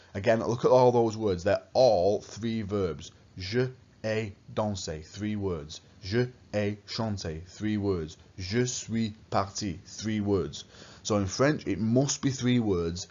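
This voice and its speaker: Deep-voiced teacher, dull lo-fi recording. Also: male voice, a little mellow. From England. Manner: patient, explains step by step with repeated questions. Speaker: French teacher. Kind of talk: recorded lesson narration over slides.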